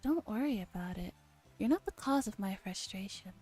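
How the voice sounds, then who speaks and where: A woman's voice: medium pitch, animated, moderate pace, a little mellow. A woman streamer playfully reading a visual novel aloud and acting out the dialogue, in an ASMR stream. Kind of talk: ASMR game stream, read-aloud and chat. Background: game music.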